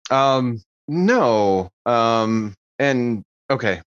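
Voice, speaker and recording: A man's voice: mid-range voice, lively intonation, very slow, smooth, no room sound, lo-fi sound. A man casually improvising his character's actions in a tabletop game, hesitant and thoughtful.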